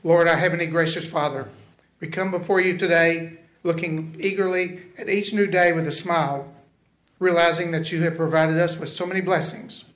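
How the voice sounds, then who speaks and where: A hoarse middle-aged man giving a solemn, measured spoken prayer at a podium microphone in a large county courtroom. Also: a little high, fairly bright tone. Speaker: invocation speaker. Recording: echoey large hall.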